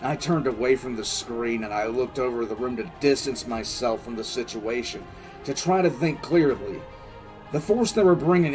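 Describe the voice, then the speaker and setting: An actor playing a frantic, bitter military commissar in an audio drama, telling his story in halting, intense first person. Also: a little high, a little mellow. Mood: tense, stunned. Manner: halting, intense, repeats words. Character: male military commissar, wounded, frantic, bitter survivor recounting disaster.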